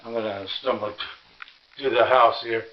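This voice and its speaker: A man with a mid-pitched voice narrates a casual home vlog. He sounds tired and talks in a halting, rambling way. The audio is dull and muffled, recorded inside a house as he walks around. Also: fairly even intonation. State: tired and sore. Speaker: vlog host. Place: inside a house, walking through rooms.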